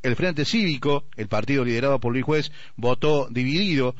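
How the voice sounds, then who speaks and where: Argentine male correspondent, mid-pitched, scripted news delivery, dry and slightly dull sound. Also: moderate pace, no echo. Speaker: radio news reporter. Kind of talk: scripted radio news report.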